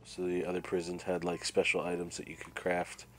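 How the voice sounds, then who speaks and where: A man commentating casually while playing a prison game, his voice raspy and nasal from a cold, thinking aloud as he plays. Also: low-pitched, completely flat delivery, brisk, a bit bright. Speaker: gameplay commentator. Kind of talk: casual let's-play commentary. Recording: faint game music behind.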